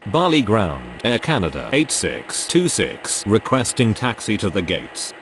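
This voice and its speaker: Deep-voiced man making air traffic calls. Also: wildly swooping pitch, measured pace, a bit bright. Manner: clipped, formulaic radio calls with call signs. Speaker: air traffic control game player. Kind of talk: reading aviation radio phraseology.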